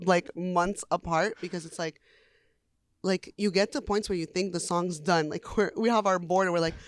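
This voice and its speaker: A woman's voice: fairly low pitch, fairly even intonation, normal speed, no echo. A young adult woman, a singer, talking casually in an interview, chatty with lots of "like" fillers and easy laughter.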